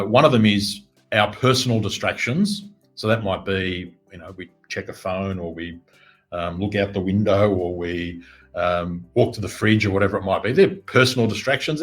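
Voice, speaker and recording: Middle-aged man, lowish voice, earnest business coach, faint music underneath. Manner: steady, earnest, reflective. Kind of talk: interview answers.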